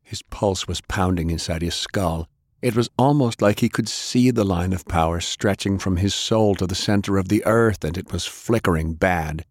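Deep voiced guy narrating an action novel, dramatic read, super dry studio sound. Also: moderate pace, no echo. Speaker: audiobook narrator.

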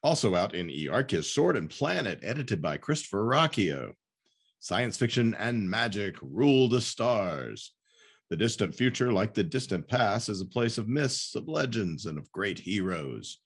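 A man's voice: lowish voice, sing-song, measured pace, breathy edge. A man hosting a podcast, moving between scripted announcements and casual interview talk. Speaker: podcast host.

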